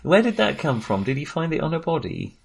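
A man's voice: fairly high pitch, very animated, brisk, creaky, husky. A man co-hosting a casual film discussion, talking in a hesitant, self-deprecating way. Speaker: podcast co-host reviewing films.

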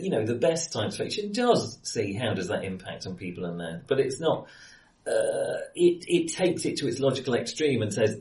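A man's voice: medium pitch, darkish tone. A man in a casual film discussion, speaking as an enthusiastic, opinionated co-host who often rephrases as he goes. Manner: hesitant, rephrases often, chuckles while talking. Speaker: podcast co-host reviewing films. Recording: roomy echo, distant microphone.

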